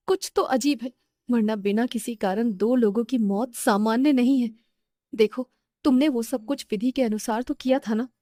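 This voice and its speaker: A woman playing a worried young girlfriend in an animated horror story, speaking in an urgent, insistent way. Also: fairly high pitch, no room sound, studio quality, close to an everyday voice.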